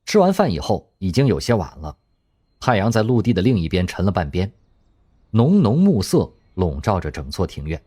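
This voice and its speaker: Male narrator for an audio drama: low, husky, animated delivery. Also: normal speed. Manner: expressive storytelling, husky voice.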